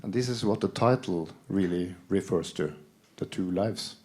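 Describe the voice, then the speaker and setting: A man's voice: sits low, normal speed, a little mellow. A man moderating a festival panel, asking light, joking questions. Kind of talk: panel talk.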